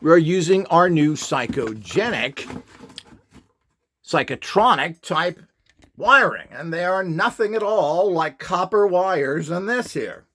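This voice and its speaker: A man's voice: sits high, lively intonation, slow pace, fairly bright tone. A man giving an informal, unscripted demonstration as an instructor, opinionated and dismissive in his way of talking.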